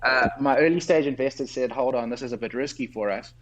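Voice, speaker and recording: A man with a South African accent, a guest entrepreneur who explains things in a clear, structured way in a conversational interview, tired late at night. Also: mid-range voice, fairly quick, low-quality recording.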